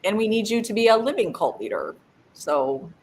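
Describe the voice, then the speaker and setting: A woman's voice: mid-range voice, very animated, moderate pace, a little mellow. A woman co-hosting a casual live stream, giggly and a bit phlegmy, greeting viewers by name. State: phlegmy, coughing.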